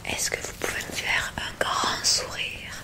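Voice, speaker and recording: A woman whispering gently and breathily close to the mic, giving soothing, reassuring instructions in an ASMR medical exam roleplay. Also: unhurried, poor audio. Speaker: ASMR creator playing an examiner in a roleplay. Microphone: close ASMR microphone.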